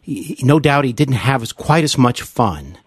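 Male American podcast host, mid-pitched, earnest and hesitant in phrasing, recorded dry. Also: expressive, unhurried, breathy edge, no echo. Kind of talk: conversational interview.

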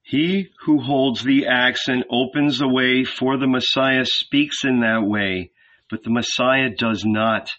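A man voicing a calm young spiritual teacher who speaks softly and tenderly. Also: medium pitch, takes it slowly. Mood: gentle. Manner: soft, quiet, tender. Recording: dull lo-fi audiobook recording.

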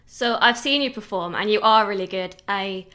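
A woman in the interviewer's seat puts her questions to a guest in a friendly, easygoing way. Her voice sits at an ordinary middle pitch. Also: moderate pace, pushed and bright. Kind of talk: casual conversational interview.

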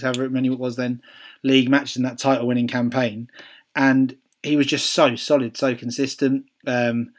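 A man with a Welsh accent chatting casually as a podcast co-host, musing aloud with ums and restarts. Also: mid-range voice. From Wales. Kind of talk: casual chat.

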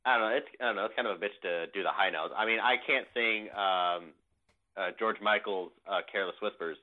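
A man's voice: fairly low pitch, brisk. A man in his thirties co-hosting a casual podcast, laid-back and joking. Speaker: podcast co-host. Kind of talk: casual chat. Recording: phone-like audio.

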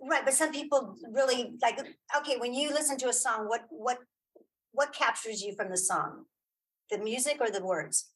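Effusive middle-aged woman with slightly husky voice. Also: a little high, normal speed, a bit bright, poor audio. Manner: effusive, emphatic, repeats phrases. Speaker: interview guest, audio drama creator and filmmaker. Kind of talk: casual conversational interview.

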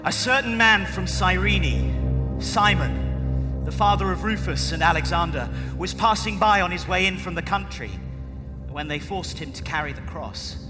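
A man's voice: high-pitched, expressive, unhurried. A man giving a theatrical, declamatory dramatic reading of scripture. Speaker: scripture reader. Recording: echoing hall with loud music. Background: loud music.

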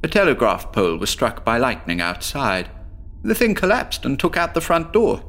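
A man's voice: mid-range voice, huge pitch swings, normal speed, fairly bright tone. A man playing a troubled psychiatric patient in a horror audio drama, agitated and stammering as he confesses his tale. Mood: shaken, reflective. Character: troubled one-armed male patient haunted by an inner voice.

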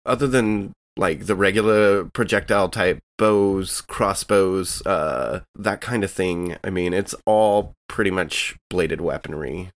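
A man's voice: low-pitched, a little slow, hardly any pauses. A man playing a tabletop game, talking loosely and rambling with fillers. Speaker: player. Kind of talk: casual tabletop game chat.

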